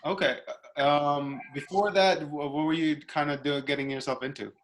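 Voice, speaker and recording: A man's voice: on the high side, steady tone, moderate pace, poor audio. A man chatting casually as an interviewer, a little hesitant as he searches for words. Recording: faint background noise.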